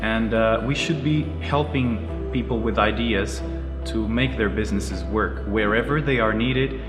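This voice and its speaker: A man in his thirties from Portugal, a native Portuguese speaker, answering interview questions in a measured, earnest way with frequent pauses. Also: fairly low pitch. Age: 30s. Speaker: karate teacher and entrepreneur. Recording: roomy echoey space with music behind. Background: music playing under the voice.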